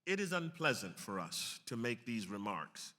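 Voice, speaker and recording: Piercingly bright, forceful male reader in a hall. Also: medium pitch, very animated, normal speed. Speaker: actor reading aloud. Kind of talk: dramatic reading of a historic pamphlet. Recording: long hall reverb.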